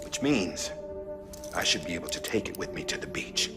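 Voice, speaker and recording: An American man playing a weary middle-aged government director and haunted veteran, making a grave, halting confession in a game cutscene. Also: low voice, close to an everyday voice. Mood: grim resolve. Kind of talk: dramatic video game cutscene monologue. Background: dramatic music.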